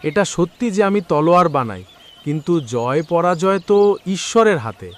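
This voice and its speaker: An actor playing a humble, honest, hardworking blacksmith in a cartoon, speaking earnestly and politely. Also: male voice, a little high, very animated, normal speed. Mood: calm, polite. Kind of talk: cartoon voice acting.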